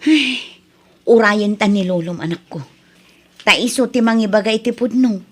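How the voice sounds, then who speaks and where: A woman's voice: medium pitch, a little slow, close to an everyday voice. A woman with an Ilocano accent playing a hesitant, worried village mother in a radio comedy drama.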